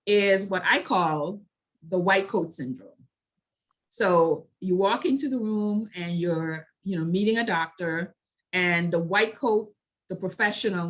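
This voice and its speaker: A woman speaking as a health advocate in a prepared talk, with deliberate, emphatic and measured phrasing. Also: fairly low pitch. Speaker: health advocacy organization leader and panel speaker.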